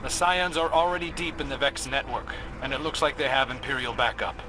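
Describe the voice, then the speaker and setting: A man's voice: medium pitch, steady tone, a little fast, lightly acted. Man acting wry scout over noisy backdrop. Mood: wry, friendly. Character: easygoing young male scout, wry and friendly. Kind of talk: video game radio chatter.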